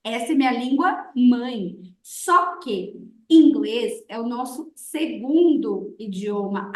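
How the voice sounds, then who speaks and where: A woman of twenty-nine with a Brazilian accent hosting a casual interview in an expressive, emphatic, colloquial way. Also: high-pitched. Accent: Brazilian Portuguese. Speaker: interview host, English teacher. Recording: echoey room, distant from microphone.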